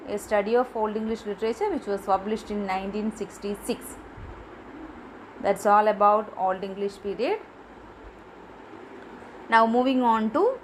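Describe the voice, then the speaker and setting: A woman giving a literature lecture from her notes, pausing often with fillers and restarting words. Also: fairly high pitch, a little hiss or hum, low-quality recording. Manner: halting, many fillers and restarts. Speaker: teacher.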